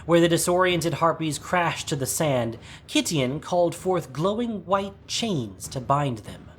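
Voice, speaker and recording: A man's voice: a little high, animated, unhurried, a bit bright, a little hiss or hum. A man reading a scripted, dramatic story as its narrator. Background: music.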